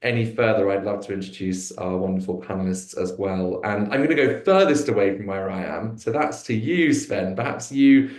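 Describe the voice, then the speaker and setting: A man's voice: lowish voice, normal speed, hardly any pauses, darkish tone. A man hosting a business webinar over a video call, hesitant with many fillers and restarts. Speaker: webinar host. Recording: echoey room.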